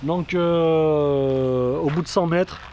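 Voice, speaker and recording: A man's voice: on the high side, drawn-out words, a little mellow, dull audio. A man giving a casual product review chat in informal, slangy speech full of verbal tags. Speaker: video creator reviewing gear. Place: outdoors by a street. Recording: outdoors with faint traffic noise.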